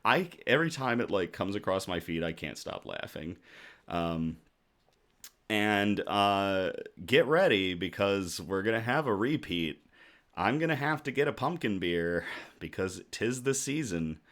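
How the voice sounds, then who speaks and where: A man hosting a casual livestream, chatting to viewers in a jovial, effusive way, his voice slightly rough from shouting. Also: fairly low pitch, expressive, unhurried. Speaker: host of a casual news and beer livestream.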